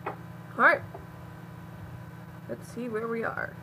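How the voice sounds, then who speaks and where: A woman's voice: a little high, wildly swooping pitch, slow, creaky. Young woman joking thru a let's play, babbles silly stuff, noisy home mic. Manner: goofy, babbling nonsense words. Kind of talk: casual gameplay commentary. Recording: home recording with noise.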